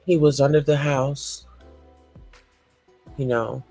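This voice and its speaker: A man commenting slowly and thoughtfully on a story, leaning on "you know" a lot. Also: medium pitch, steady tone, vocal fry, warm tone, faint music behind. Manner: slow, hedging, repeats "you know". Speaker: podcast commentator. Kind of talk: reflective commentary.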